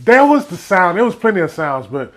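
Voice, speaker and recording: Animated Southern man, higher-pitched, telling stories. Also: brisk. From Texas, United States. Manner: animated, rambling, playful. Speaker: storyteller. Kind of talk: casual comic storytelling.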